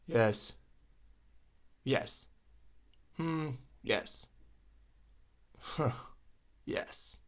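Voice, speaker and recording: A man recording short sound effect takes of one word, ranging from whispered to shouted. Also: medium pitch, creaky.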